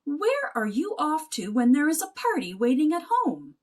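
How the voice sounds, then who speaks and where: A woman voicing a brisk, dismissive uncle mouse in a storybook, jolly but scolding and scoffing. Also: high-pitched, huge pitch swings, pitched above the performer's own voice. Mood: amused. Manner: blustering, scoffing.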